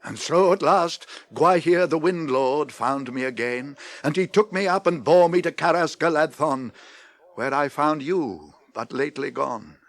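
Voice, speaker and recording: An old, wise wizard for a radio play. His voice sits fairly high for a man, and he declaims with stately, grave authority, ready to turn wry. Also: unhurried. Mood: solemn, weary. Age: older. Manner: theatrical, stately, declaiming delivery. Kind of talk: 1980s radio drama acting.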